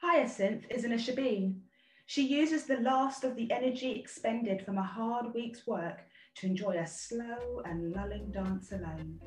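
A woman's voice: a little high, a little slow. Female narrator for a play reading, stage directions, echoey and off-mic on a video call. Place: indoor room, video call. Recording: roomy, distant video-call audio.

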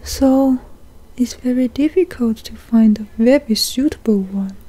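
A woman's voice: a little high, measured pace, warm tone. A woman co-hosting a podcast in casual, flirty ASMR chat, playful and teasing, close to the microphone. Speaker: podcast co-host.

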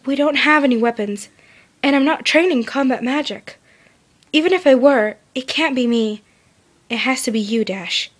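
A woman's voice: sits high, normal speed, pitched above the performer's own voice. Woman as a firm, stern unicorn pony. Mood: insistent. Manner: firm, insistent. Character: stern, rational female unicorn pony leader.

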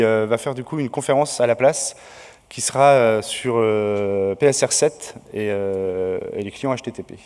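A man's voice: mid-range voice, a little mellow. A man organizing a conference, giving opening announcements from a podium with many hesitations. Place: large lecture hall. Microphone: podium mic. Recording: reverberant hall.

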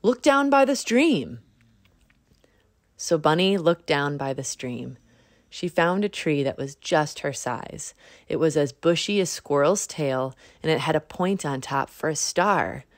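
Low-voiced woman reading a children's story. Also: unhurried, dry recording, studio quality. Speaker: storybook narrator. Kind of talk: scripted children's story narration.

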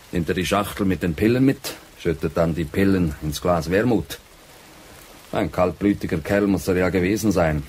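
An actor playing an earnest, dutiful younger man in a radio drama, with a husky voice. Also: deep voice, darkish tone.

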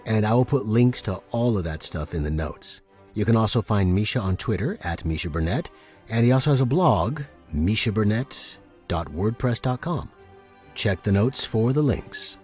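A man hosting and narrating fiction in a slightly husky voice. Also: fairly low pitch, expressive, moderate pace, darkish tone, soft background music. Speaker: podcast host and narrator. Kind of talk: scripted narration.

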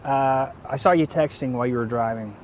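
A police officer narrating his patrol and questioning a driver in a plain, steady way. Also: medium pitch, a little fast. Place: in a patrol car and at the roadside outdoors. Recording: noisy road-side microphone audio.

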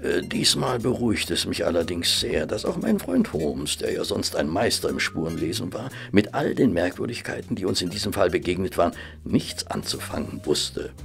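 A genial, comfort-loving gentleman companion in a German audio drama. The voice is low and gravelly, with an expressive, courteous storyteller's manner and wide swings in tone. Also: moderate pace, faint music behind, full character voice. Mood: wry, pondering.

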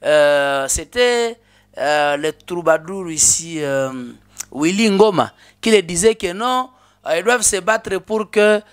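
A man's voice: high voice, lively intonation, slow, clean tone. A man in his thirties speaking as a guest in a political studio interview, emphatic, with rhetorical questions and frequent hesitations. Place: small indoor studio. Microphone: desk mic on stand.

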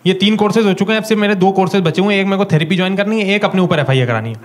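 A young man with an Indian accent doing a stand-up comedy set in a small club, telling stories with animated acted-out dialogue into a handheld mic. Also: sits high, rapid-fire, very noisy. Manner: animated storytelling, acting out dialogue. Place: small comedy club stage before a live audience. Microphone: handheld stage mic.

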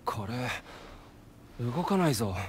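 A man's voice: mid-range voice, big pitch swings, takes it slowly, slight background noise. A man voicing an earnest, questioning young hero in a video game, speaking casually. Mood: puzzled. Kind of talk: cinematic video game voice acting.

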